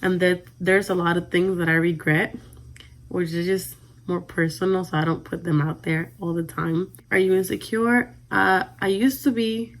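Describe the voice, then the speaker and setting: A woman in her twenties with a Dominican accent answers questions in a relaxed, chatty vlog. Her voice is soft, and she often lets the ends of her lines fade out quietly. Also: on the low side, moderate pace. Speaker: vlogger. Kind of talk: casual Q&A vlog.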